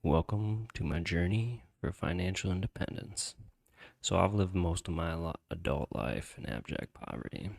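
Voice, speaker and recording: A man's voice: sits low, lively intonation, normal speed, creaky. A man hosting a podcast, telling a personal story in a casual, slangy, conversational way with some swearing.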